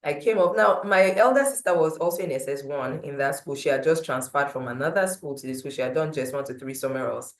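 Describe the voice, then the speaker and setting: A woman with a loud voice, telling a personal story at a meeting with animated swings in pitch and loudness. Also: fast talker, few pauses. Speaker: motivational speaker. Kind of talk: storytelling talk at a breakfast meeting. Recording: roomy echo.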